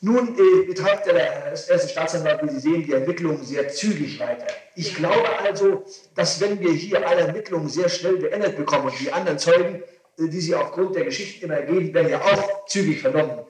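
A man's voice: fairly high pitch, fairly quick, distant mic, crowd noise. Echoey archive tape of insistent male lawyer. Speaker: defence lawyer. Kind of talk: legal advice in a recorded interrogation hearing.

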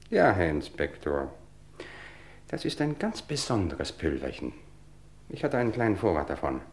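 An actor playing a smug, cultivated murderer who taunts police with measured, theatrical politeness in an old German radio crime drama. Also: male voice, medium pitch, darkish tone, close to an everyday voice.

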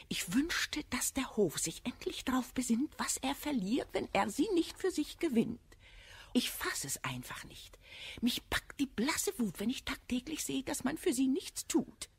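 An actress playing an effusive, confiding noblewoman who flatters and feigns sympathy in a classic verse radio comedy. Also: fairly high pitch, a little slow, breathy edge, exaggerated voice. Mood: flattering, confiding, then indignant, persuasive.

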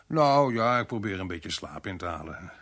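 A man's voice: sits low, animated, moderate pace, a bit bright, no echo, heavily acted. An actor playing a weary, compassionate male police inspector in a radio drama, both speaking and narrating in the first person. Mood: drowsy, then concerned. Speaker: actor in the lead and narrating role.

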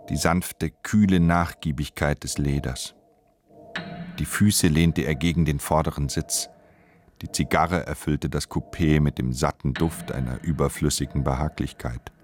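A man's voice: a bass voice, a little hiss or hum. A man, narrating literary prose for a radio play.

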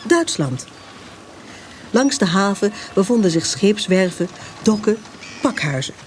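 An actress narrating a historical radio drama in a measured, literary storytelling manner. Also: female voice, medium pitch, a little mellow, slight background noise, lightly acted. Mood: matter-of-fact. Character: storyteller of a historical smuggling tale.

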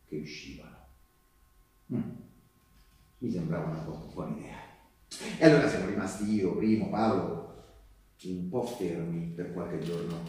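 A distant, heavily echoing theatre recording of a man acting an earnest wartime partisan. His low, mellow voice confides in the listener as a stage monologue, halting and repeating himself conversationally. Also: close to an everyday voice. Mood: wary, recounting. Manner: conversational, halting, with rhetorical tags and repetitions. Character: earnest male Resistance partisan postal worker recounting wartime sabotage. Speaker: stage actor. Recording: echoey live theatre, distant microphone.

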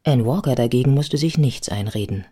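A man's voice: mid-range voice, a little fast, dark tone, breathy edge. A man narrating a radio play from a script, as a storyteller outside the action.

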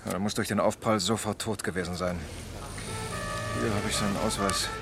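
An actor playing a dutiful, matter-of-fact young-ranking police lieutenant reporting facts in a 1970s radio crime drama. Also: male voice, on the low side, steady tone, fairly quick, over loud music, natural voice. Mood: businesslike. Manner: factual, reporting.

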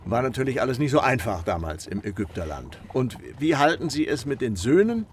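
An actor playing a polite, probing gentleman in a German radio drama, asking questions in a dry, measured manner. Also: mid-range voice, very animated, a little hiss or hum, lightly acted. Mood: dryly probing.

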